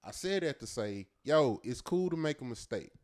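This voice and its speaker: A man with a fairly low-register voice, co-hosting a podcast and telling a story in a loose, earnest way. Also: lively intonation, normal speed. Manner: loose and earnest, peppered with "man" and "you know what I'm saying". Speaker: podcast co-host. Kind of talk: casual storytelling chat.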